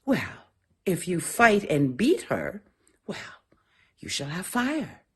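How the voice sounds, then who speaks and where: A woman's voice: fairly low pitch, big pitch swings, slow, slightly rough, full character voice. A woman voicing a cackling old woman who keeps the fire, chanting her sing-song spell in a raised voice. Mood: sly.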